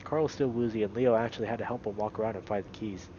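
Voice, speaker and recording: A man streaming a visual novel, moving between read-aloud narration and casual, animated commentary. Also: fairly low pitch, fast, muffled recording, background noise.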